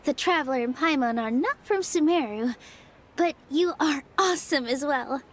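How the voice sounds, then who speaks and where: A woman playing a sweet, earnest young dancer in an anime game, with a light, girlish, gently hesitant delivery. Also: sits high, animated, moderate pace.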